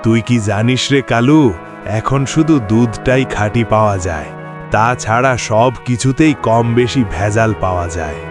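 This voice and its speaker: A man's voice: mid-range voice, normal speed, lightly acted. A man playing an authoritative, food-loving village headman in a cartoon, with a lordly manner. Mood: musing. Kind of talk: cartoon moral-tale acting. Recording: music behind the voice.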